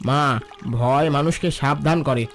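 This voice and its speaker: A man playing a high-voiced protective village father. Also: moderate pace, natural voice. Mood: calm caution. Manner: instructive, reassuring. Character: steady, protective village father, practical and watchful. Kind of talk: Bengali cartoon dialogue. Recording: music behind the voice.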